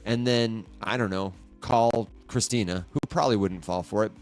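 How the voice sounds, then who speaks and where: A man's voice: lowish voice, moderate pace, a little mellow, soft background music. A man co-hosting a morning podcast in casual chat, conversational, asking his co-host questions.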